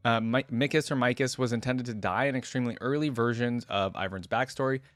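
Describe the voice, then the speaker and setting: A man's voice: medium pitch, brisk, dry recording, soft background music. A man hosting a reaction video, commenting casually and musing aloud in an informal, conversational way. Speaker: host of a reaction channel.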